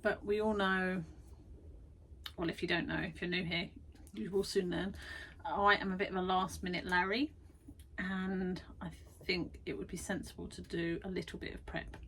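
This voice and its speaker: A woman's voice: fairly low pitch, flat delivery, moderate pace, a bit bright, slight background noise, low-quality recording. A woman vlogging from her garden shed studio, chatty and self-deprecating, tired after busy weeks. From United Kingdom. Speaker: vlogger and art workshop tutor. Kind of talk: casual chatty vlog.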